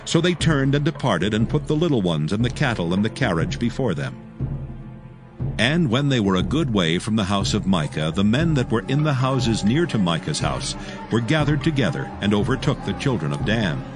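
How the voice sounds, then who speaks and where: A man narrating scripture from a script as a solemn, measured storyteller. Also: lowish voice, very animated, over music.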